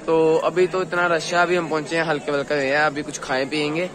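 A hoarse young man vlogging excitedly to a phone held at arm's length, outdoors at night and in a busy eatery. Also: fairly high pitch, brisk. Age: young adult. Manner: chatty, excited, talks straight to the viewer. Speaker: travel vlogger. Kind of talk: casual vlog to camera. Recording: crowd noise in the background.

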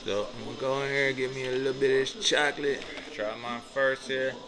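A man's voice: mid-range voice, steady tone, fast, low-quality recording. A young man hosting a casual food review vlog in a small restaurant, talking in hyped, slang-heavy banter into a phone camera. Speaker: vlog host. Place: small restaurant dining room.

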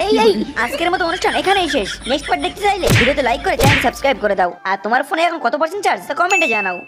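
A woman's voice: high-pitched, very animated, racing, over loud music. A woman narrating for a cartoon channel, making scripted appeals to viewers.

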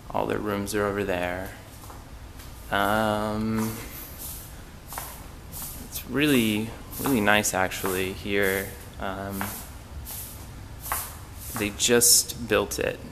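A young man giving a casual, halting, understated walk-through tour on a handheld camera. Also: background noise. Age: young adult. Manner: low-key, halting, understated. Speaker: exchange student giving a tour. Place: indoors in a student dormitory, walking through rooms.

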